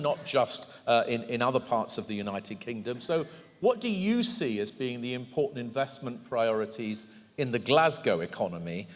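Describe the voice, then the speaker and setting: A man moderating a panel discussion, thanking speakers and asking questions politely and briskly. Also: medium pitch. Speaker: panel chair. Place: large event hall. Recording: reverberant hall.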